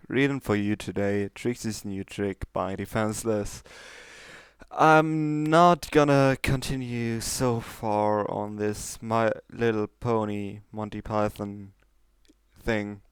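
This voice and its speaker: A man's voice: lowish voice, slow, smooth, no echo. A man reading fan fiction as an amateur narrator, moving between casual talk and storytelling.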